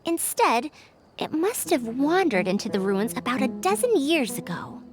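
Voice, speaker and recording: A woman's voice: high voice, sing-song, normal speed. A woman voicing a shrewd, self-assured female scholar in a video game, articulate and imperious as she explains. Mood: assured, explanatory. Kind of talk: scripted video game voice acting.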